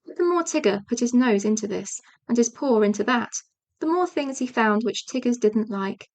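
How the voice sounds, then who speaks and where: A woman's voice: on the high side, expressive, normal speed, darkish tone. A woman reading a children's storybook aloud as audiobook narration. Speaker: audiobook reader.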